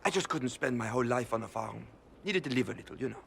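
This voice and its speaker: A man's voice: mid-range voice, lively intonation, fast, vocal fry, fairly bright tone, a little hiss or hum. An actor playing an eager young farm boy turned soldier in a fantasy game, casual and unassuming. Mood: friendly, eager. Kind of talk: video game voice acting.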